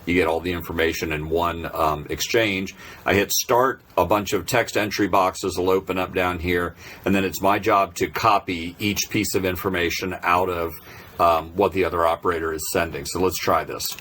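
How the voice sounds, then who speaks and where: Low-voiced man giving a casual tutorial. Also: fairly even intonation, normal speed, a bit bright, a little hiss or hum. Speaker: presenter of a software tutorial. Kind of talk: casual unscripted tutorial narration.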